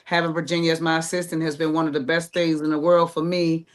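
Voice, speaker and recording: A woman's voice: low-pitched, little pitch movement, quick pace. A woman with an American accent speaking informally and conversationally as a playwright guest in a casual panel chat. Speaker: playwright and creator, interview guest.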